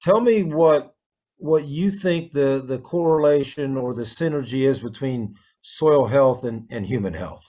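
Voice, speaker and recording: A man's voice: medium pitch, unhurried, dark tone. A middle-aged man hosting a casual interview over a video call. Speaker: host, interviewer. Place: plain room, indoors.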